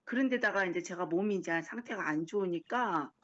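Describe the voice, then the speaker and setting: A middle-aged woman recalling her illness as a former patient in a casual interview, talking haltingly with many fillers. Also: on the low side, fairly bright tone. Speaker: recovered patient. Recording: slightly dull recording.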